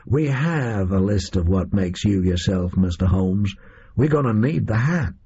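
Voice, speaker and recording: A male reader voicing a disillusioned, boastful gunman in an audio story, with a high, reedy voice and folksy, slangy delivery. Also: animated, unhurried, dark tone, highs cut off, slight background noise. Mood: brisk, boastful.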